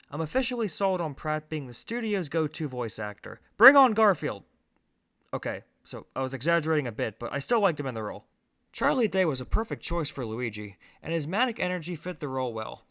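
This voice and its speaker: A man's voice: on the high side, very animated, brisk, a bit bright. A man giving a scripted film review as host, conversational and opinionated, with emphatic stresses.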